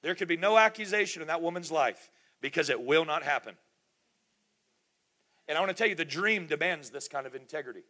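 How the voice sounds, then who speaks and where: Middle-aged male pastor, emphatic sermon delivery, bright forceful voice. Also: on the high side, expressive, fairly quick, long pauses. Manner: emphatic, repetitive preaching with rhetorical questions.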